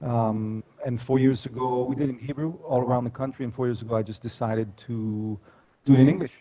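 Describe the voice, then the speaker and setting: A man's voice: medium pitch, steady tone, fairly quick, dark tone, lo-fi sound. A man in his forties from the United States, a storyteller guest speaking reflectively in a casual interview.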